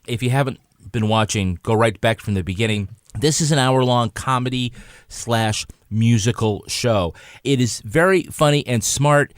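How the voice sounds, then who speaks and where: A man's voice: medium pitch, normal speed, no room sound. Male podcast panelist, mid-range voice, informal chat, dry close sound.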